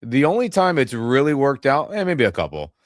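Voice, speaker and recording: A man's voice: mid-range voice, brisk, dry recording, studio quality. A man co-hosting a sports podcast with a head cold and a nasal voice, venting about his team's loss. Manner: irritated, venting, animated. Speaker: podcast co-host. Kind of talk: casual sports reaction chat. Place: home in Los Angeles. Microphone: webcam setup.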